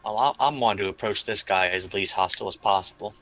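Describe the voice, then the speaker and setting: Male tabletop player, slightly low pitch, forward bright tone, informal chat. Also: fast talker, faint music behind, low-quality recording. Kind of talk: casual game chat.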